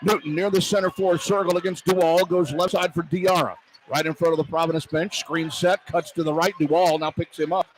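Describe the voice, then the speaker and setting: High-pitched man calling live basketball. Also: steady tone, a little fast, poor audio. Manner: clipped running phrases, voice rising to shouts on big plays. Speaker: radio play-by-play commentator. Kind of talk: live basketball play-by-play commentary. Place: courtside in a college basketball arena. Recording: live arena broadcast with background noise. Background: arena ambience, faint noise.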